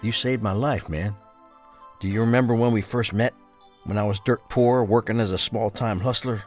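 A man acts a loyal criminal lieutenant in an audio thriller. The voice sits low, with an earnest, measured delivery. Faint music plays behind him. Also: steady tone, a little mellow, close to an everyday voice. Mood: grateful, devoted. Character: loyal, grateful male gangster, the boss's trusted right-hand man. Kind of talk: crime thriller audio drama dialogue.

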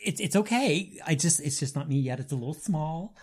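A man's voice: fairly high pitch, lively intonation, a little fast, a crowd in the background. A man who performs as a drag queen, chatting casually as a podcast guest in a big, self-mocking voice. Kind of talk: casual chat.

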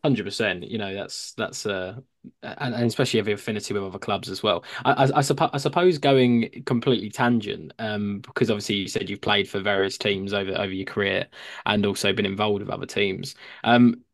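A man's voice: on the low side, fairly quick, dry recording, clean studio sound. A young English man hosting a podcast, chatting casually with a lot of hesitation and restarts. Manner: hesitant, many fillers and restarts. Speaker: podcast host. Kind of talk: casual chat.

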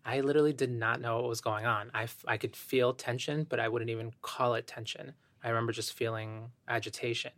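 Soft-spoken thoughtful man, flat delivery. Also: mid-range voice, a little fast, few pauses, no echo. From Ecuador. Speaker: podcast guest. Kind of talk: reflective interview talk.

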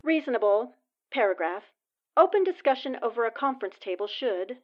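A woman's voice: on the high side, big pitch swings, fairly quick, highs cut off. A woman playing a newspaperwoman formally dictating a column, heard through a dictaphone playback in a 1940s radio drama. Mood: measured. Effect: dictaphone recording playback. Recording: noisy, band-limited old dictaphone playback.

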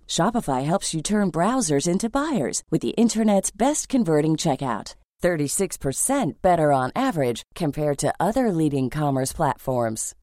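Animated, mellow woman reading a commercial. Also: deep voice, no echo, studio quality. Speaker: advertising voice-over. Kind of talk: scripted commercial narration.

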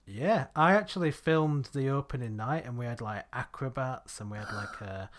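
A young man from Bradford co-hosting a podcast, rambling and laughing as his sentences trail off quietly. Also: medium pitch, lively intonation, moderate pace. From Bradford, Northern England. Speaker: podcast co-host. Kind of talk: casual chat.

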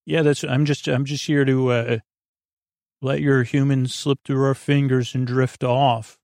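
Male performer as soothing, hesitant robot companion in a bedtime story, agreeable, meandering, creaky. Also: medium pitch, little pitch movement, a little slow, a little mellow, dry recording, clean studio sound. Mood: gently soothing.

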